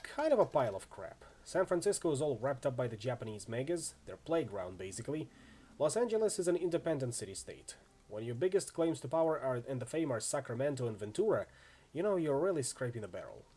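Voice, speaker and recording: Man voicing an easygoing, animated orc swordsman. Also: medium pitch, fairly quick, husky. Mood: candid, wry. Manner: laid-back, chatty street slang. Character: easygoing, friendly young male orc wandering swordsman. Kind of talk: acted reading of role-playing game dialogue.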